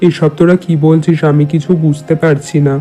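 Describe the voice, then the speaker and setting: Man playing self-assured holy sage, mellow and even. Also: on the high side, fairly quick, soft background music, lightly acted. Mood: adamant. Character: revered village holy man, male, certain of his prophecy. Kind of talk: Bengali moral-tale cartoon dubbing.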